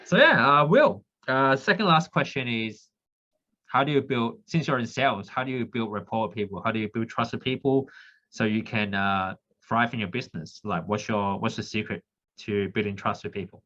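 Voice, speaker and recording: A man's voice: medium pitch, moderate pace, bright, forceful tone, dull audio. A man from Hong Kong who grew up in Australia, hosting a casual interview, who often answers with short backchannels and laughs. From Hong Kong, raised in Australia. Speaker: podcast host.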